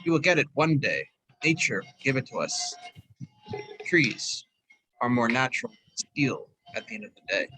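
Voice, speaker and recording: A man's voice: mid-range voice, lively intonation, normal speed, pushed and bright, husky, low-quality recording. A man reciting spoken-word poetry in short, emphatic, declarative statements. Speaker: poet, event participant.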